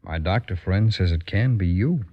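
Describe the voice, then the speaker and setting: A man acting in an old-time radio drama as a patient, fatherly lawman, gentle and persuasive. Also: low voice, lively intonation, normal speed. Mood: gentle persuasion. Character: patient fatherly lawman counselling a troubled youth. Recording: muffled old radio recording.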